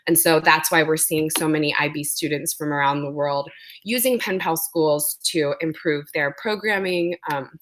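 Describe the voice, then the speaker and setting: A woman's voice: sits low, normal speed, a bit bright. A woman presenting an educational webinar, speaking with a sore throat and explaining things with frequent ums.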